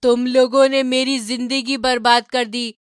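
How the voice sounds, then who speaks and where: A woman's voice: sits high, little pitch movement, measured pace, slight background noise. A woman voicing a vengeful, menacing female witch ghost with a dramatic cackle for a horror cartoon story. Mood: vengeful fury.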